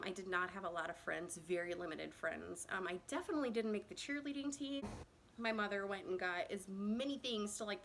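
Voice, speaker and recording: A woman's voice: medium pitch, fairly bright tone, a little hiss or hum, rough recording. A woman in her thirties from Indiana tells her own story to a phone camera in a casual, rambling way, with many fillers and self-conscious laughs. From Indiana, USA. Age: 30s.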